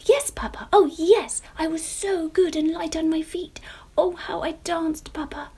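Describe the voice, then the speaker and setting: A woman voicing an excitable young girl pumpkin who gushes in a squealing, breathless voice. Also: unhurried. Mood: gleeful. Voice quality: squealing high voice. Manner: breathless, gushing.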